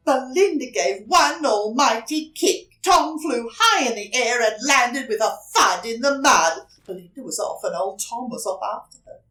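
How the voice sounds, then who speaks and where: Slow, dramatic woman storyteller. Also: fairly high pitch, animated, a bit bright, recorded from a distance, low-quality recording. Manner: dramatic, with emphatic repetition and drawn-out words. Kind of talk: expressive children's read-aloud.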